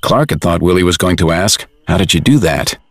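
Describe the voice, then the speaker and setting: A man's voice: low voice, expressive, a little fast, no room sound, studio quality. A man reading scripted narration for a dramatized audiobook, as storyteller.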